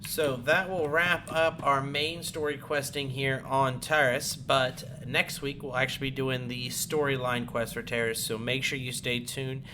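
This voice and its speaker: A man's voice: mid-range voice, little pitch movement, measured pace, hardly any pauses. A man doing informal, playful gameplay commentary, chatting to himself and his viewers. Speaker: game streamer. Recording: loud background game noise.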